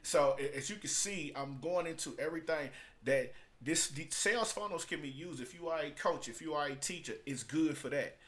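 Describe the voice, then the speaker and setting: A man's voice: on the high side, normal speed, fairly bright tone. A man talking casually and off the cuff as he reviews and explains, in an emphatic, repetitive, colloquial way. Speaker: vlogger reviewing a business book.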